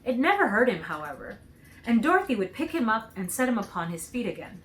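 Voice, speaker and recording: Animated woman reading a children's story. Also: medium pitch, slight background noise, low-quality recording. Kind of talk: expressive scripted narration for children.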